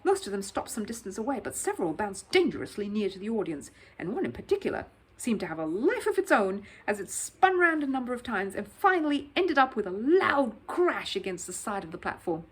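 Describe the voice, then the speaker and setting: A woman reading a children's story as an expressive, dramatic narrator. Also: mid-range voice, a little fast, husky. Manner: animated, dramatic.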